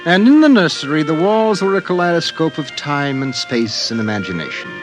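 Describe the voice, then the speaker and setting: An actor as a grave, poetic storyteller narrating an old-time science-fiction radio drama. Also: male voice, on the high side, big pitch swings, brisk. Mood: wry, lyrical. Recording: music behind the narration. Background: music.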